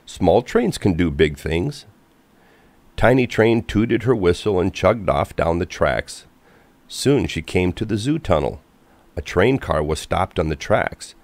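A man reads a children's storybook in a low voice. His narration is expressive and animated, with wide pitch movement, in a close, dry recording. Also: measured pace, no room sound.

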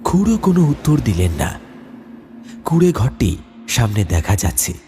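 A man's voice: mid-range voice, big pitch swings, normal speed, a little hiss or hum. A man narrating a scripted horror story as a dramatic storyteller. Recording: loud music behind the voice.

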